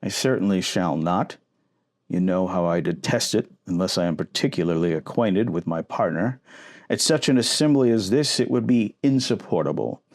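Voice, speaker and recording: A man plays a proud young gentleman from a classic novel. He speaks low, with haughty disdain, keeping himself aloof from everyone. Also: expressive, moderate pace. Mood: disdainful.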